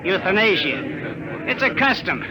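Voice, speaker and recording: A man's voice: high-pitched, very animated, normal speed, over a noisy crowd. An actor playing a blunt, nosy, callous working man in a 1950s radio drama, with colloquial speech. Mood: folksy, callous. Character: folksy, callous, suspicious male commoner of future Earth. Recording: noisy old radio recording.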